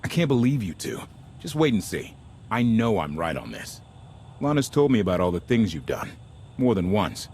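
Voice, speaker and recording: Low-voiced, earnest rebel captain. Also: male voice, sing-song, moderate pace, darkish tone, a little hiss or hum. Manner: casual, earnest. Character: male deserter warship captain, earnest, optimistic, idealistic rebel. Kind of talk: video game voice acting.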